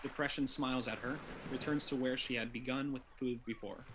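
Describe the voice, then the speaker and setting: A man's voice: medium pitch, fairly even intonation, brisk, noisy background. A young adult man reading stage directions as scripted narration for a play.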